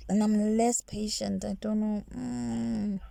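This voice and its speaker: A woman with a nasal voice chatting in a soft, rambling ASMR style, restarting her sentences and often slipping into whispers. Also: medium pitch, little pitch movement, slow pace, creaky, dry recording. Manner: soft-spoken, drifting into whispers. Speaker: ASMR creator.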